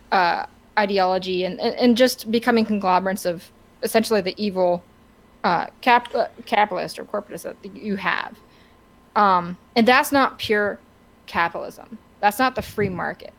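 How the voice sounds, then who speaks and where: A woman co-hosting a casual podcast, talking through her opinions in a halting, wordy way as she searches for words. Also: mid-range voice, poor audio. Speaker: podcast co-host.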